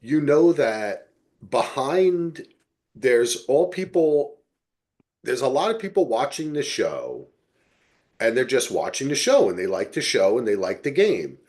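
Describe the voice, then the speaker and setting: A man's voice: mid-range voice, very animated, measured pace, a little mellow. A man hosting a casual podcast, explaining things in an emphatic, unfiltered way. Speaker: podcast host. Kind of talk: casual chat.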